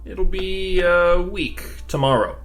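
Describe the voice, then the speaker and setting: A man's voice: sits high, slow, a bit bright, faint music behind. A man playing a curious, talkative new government agent in an audio drama, conversational, questioning and easily flustered. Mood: curious, chatty.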